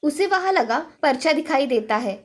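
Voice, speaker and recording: Woman reading a kids fairy tale, really high voice, soft music behind her. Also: lively intonation, moderate pace. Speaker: storyteller. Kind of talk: scripted children's fairy-tale narration. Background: faint background music.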